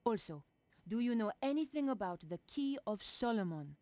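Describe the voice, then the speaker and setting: A woman's voice: on the high side, big pitch swings, normal speed, mellow, no room sound. An actress playing a determined young woman journalist in a video game. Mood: serious. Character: young female investigative journalist, earnest and determined. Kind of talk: video game voice acting, narration and dialogue.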